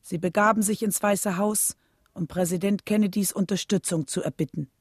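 A woman's voice: mid-range voice, steady tone, moderate pace, slightly rough, dry recording. Woman, narrator, scripted documentary drama, mid-range voice, dry recording.

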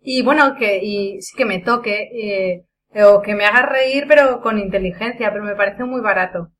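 A woman's voice: mid-range voice, normal speed, a bit bright. A woman with a Castilian Spanish accent giving her opinions as a podcast panelist, critical and matter-of-fact, on a slightly noisy recording. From Spain. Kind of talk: casual opinionated discussion.

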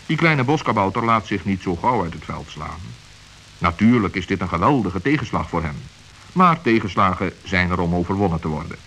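Low-voiced male storybook narrator. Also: fairly bright tone, slight background noise, low-quality recording. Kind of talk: scripted storybook narration for children.

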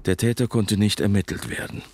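A man's voice: fairly low pitch, a little fast, darkish tone, slight background noise, close to an everyday voice. An actor playing an anxious recovering alcoholic in his fifties from Germany, narrating his past. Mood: grim, uneasy. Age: 50s. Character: anxious middle-aged recovering alcoholic man looking back. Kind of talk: radio drama first-person narration and dialogue. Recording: music behind the voice.

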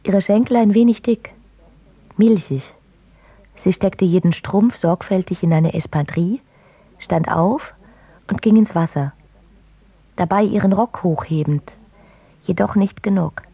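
A woman narrates literary prose in a dark, gravelly voice, recorded very dry. She phrases deliberately, in measured short units. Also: mid-range voice, no echo.

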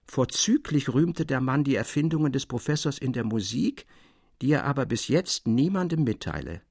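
Casting: a formal, expressive storyteller for audio literature, mid-pitched, measured delivery, dry sound. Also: male voice, creaky, slightly rough, no room sound. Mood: measured narration. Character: male storyteller Theodor, literary friend reading his tales, defending fragments. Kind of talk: literary audio drama narration.

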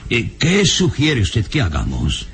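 Scared old-radio professor, swoopy pitch, slow halting delivery, very theatrical. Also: male voice, wildly swooping pitch, fairly bright tone, heavily acted. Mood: fearful. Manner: halting, theatrical, dramatic pauses. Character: frightened, excitable male professor. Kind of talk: classic radio drama acting.

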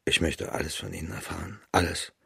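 An actor playing a tough, plain-spoken colonel of the imperial guard in a radio drama, terse and direct, with a rough voice. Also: male voice, sits low, steady tone, fairly quick, fairly bright tone, dry recording, studio quality, exaggerated voice. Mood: direct, curious.

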